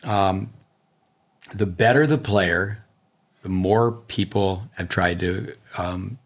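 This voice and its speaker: A middle-aged man, a tennis coach co-hosting a podcast, talking casually and listing ideas in quick bursts. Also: low-pitched.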